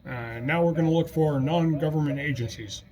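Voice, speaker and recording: A man's voice: on the high side, normal speed, noisy background. A man acting as net controller, calling stations in turn in an orderly, procedural way on a ham radio net.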